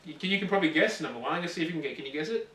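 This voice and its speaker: A man co-hosting a gaming show, chatting casually and giving rambling, animated opinions. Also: fairly high pitch, very fast. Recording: roomy echo, distant mic, faint noise.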